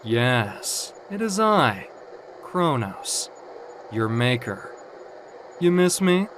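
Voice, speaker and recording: A man's voice: medium pitch, big pitch swings, drawn-out words, a bit bright, slight background noise, heavily acted. A man voicing a suave, patronizing demon in an audio comic book drama, theatrical and mocking. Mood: teasing.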